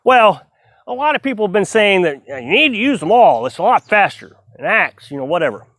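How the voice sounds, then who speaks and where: A man's voice: high voice, big pitch swings, fairly quick, a bit bright, dry recording, clean studio sound. A middle-aged man vlogging outdoors on his homestead, talking casually and earnestly while he splits firewood. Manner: rambling, earnest, self-reflective.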